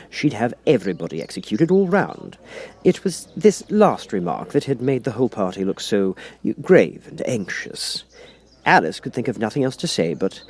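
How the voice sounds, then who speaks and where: Animated male storybook narrator. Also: on the low side. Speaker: audiobook narrator.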